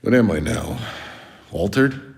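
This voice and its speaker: An actor playing a gruff, world-weary soldier in a video game, blunt and sardonic, with a gravelly voice. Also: male voice, on the low side, animated, slow, darkish tone, big-room sound, full character voice. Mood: demanding, tense. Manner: blunt, sardonic, casual and profane. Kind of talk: video game character dialogue.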